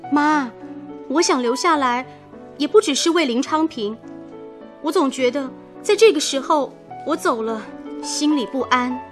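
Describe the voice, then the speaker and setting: A sensitive young daughter in a patriotic radio drama. Her voice is high, earnest and emotional, over soft music. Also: unhurried. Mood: hesitant resolve.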